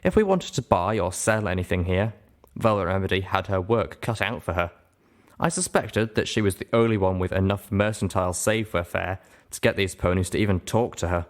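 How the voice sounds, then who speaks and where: Low-pitched man reading a story. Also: a little fast. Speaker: narrator reading a fan fiction story aloud. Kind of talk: expressive audiobook-style narration.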